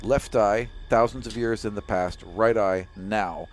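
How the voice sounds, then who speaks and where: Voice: mid-range voice, steady tone, a little slow, slight background noise. A game master improvising narration and table talk in a tabletop role-playing game.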